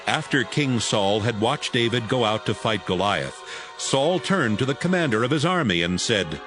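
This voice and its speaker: A man with a medium-pitched voice narrates scripture as a dramatized story. His reading is scripted, and music plays clearly behind him. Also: lively intonation, normal speed.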